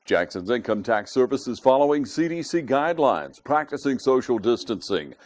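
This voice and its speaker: A man's voice: mid-range voice, sing-song, moderate pace, darkish tone. A man reading scripted commercial narration as an announcer. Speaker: advertisement announcer.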